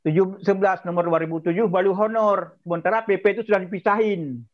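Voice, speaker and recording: A man's voice: sits high, steady tone, quick pace. A man answering questions informally as an organization's chairman, repeating words for emphasis. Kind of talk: informal spoken explanation. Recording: slightly dull online call.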